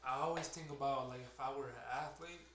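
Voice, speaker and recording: A man's voice: medium pitch, steady tone, brisk, background noise. A man in his late twenties hosting a podcast, talking loosely and profanely, turning motivational. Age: 20s. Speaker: podcast host. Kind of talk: casual podcast chat. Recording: echoey room.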